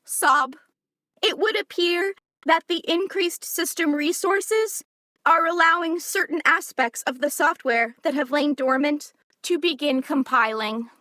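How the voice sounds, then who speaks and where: A woman's voice: sits high, measured pace, bright, forceful tone, dry recording. A woman voicing a childish, melodramatic female computer in an audio drama, speaking in stilted formal phrases and announcing her own wounded emotions. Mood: sulky, wounded.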